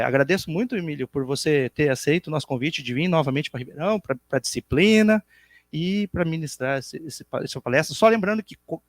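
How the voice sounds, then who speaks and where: A man's voice: mid-range voice, no room sound. A man from Brazil casually introducing a visiting speaker, correcting himself as he talks. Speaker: host introducing a guest speaker. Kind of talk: introduction at an academic talk.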